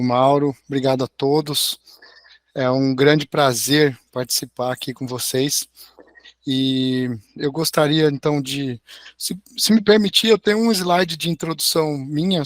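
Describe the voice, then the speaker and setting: A middle-aged man with a hoarse voice, an engineering professor giving a friendly online lecture with slides over a video call. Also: medium pitch, steady tone, measured pace, no echo, clean studio sound. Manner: friendly, tags sentences with "né?". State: hoarse, recovering from a sore throat.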